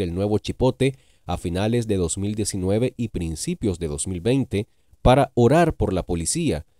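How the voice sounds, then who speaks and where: Dark, dry male news narrator. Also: lowish voice, dry recording. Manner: formal, measured news reading. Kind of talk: scripted news voice-over.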